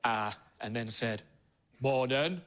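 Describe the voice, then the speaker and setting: A baffled commuter witness. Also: male voice, mid-range voice, lively intonation, takes it slowly, a bit bright. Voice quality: puzzled, rambling recollection. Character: bewildered male commuter witness.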